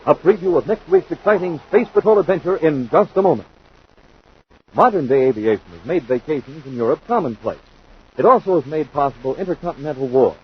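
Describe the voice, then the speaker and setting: A man's voice: fairly high pitch, lively intonation, fairly quick, a little hiss or hum. An American man announcing from a script in 1950s radio style. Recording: dull 1950s radio recording.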